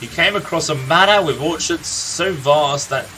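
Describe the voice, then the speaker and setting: A man playing a gruff, irritable older villager in a video game, reading out a tale in a blunt storytelling manner. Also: a little high, moderate pace, sharp tone, soft background music, low-quality recording. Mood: dramatic storytelling.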